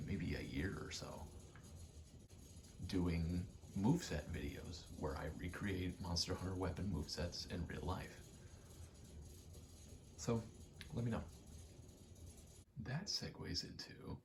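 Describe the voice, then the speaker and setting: A young man in a casual, halting ASMR ramble, speaking softly and often slipping toward a whisper, with a self-deprecating, sweary manner. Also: low-pitched, a little hiss or hum. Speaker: ASMR creator. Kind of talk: rambling ASMR, casual personal chat while gaming.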